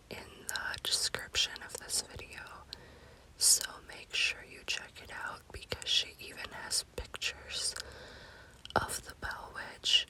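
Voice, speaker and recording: A woman whispering in a hushed, unhurried manner as an ASMR storytelling host. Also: low-quality recording.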